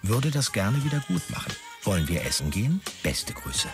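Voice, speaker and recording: A low male voice plays a self-important, sleazy young manager in a radio drama. He is officious and pushy, swinging wildly in pitch, with prominent music behind him. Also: wildly swooping pitch, normal speed. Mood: smarmy. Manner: officious business jargon, heavy English buzzwords. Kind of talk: radio drama, characters reading out e-mails.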